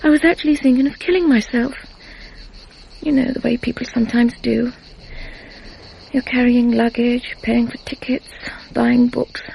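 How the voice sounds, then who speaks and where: An emotional, fragile British woman pouring out her feelings in a radio drama. Her voice is high, breathless and very crackly, with faint noise and chirping insects behind it. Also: gravelly, dark tone, full character voice.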